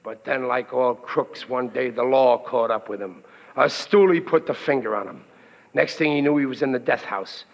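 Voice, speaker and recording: A man's voice: medium pitch, normal speed, creaky, natural voice. An actor playing a dim, blustering working-class family man in a 1940s radio comedy, slangy and melodramatic. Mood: ominous storytelling. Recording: dull, lo-fi old radio recording.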